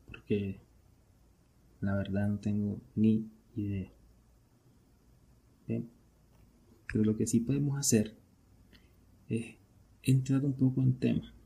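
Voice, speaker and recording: Casting: male podcast host, Venezuelan accent, very dark relaxed tone with plenty of gravelly creak, conversational solo delivery. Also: lowish voice, takes it slowly, pauses often. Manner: conversational, asks and answers own questions. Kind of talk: casual solo podcast monologue.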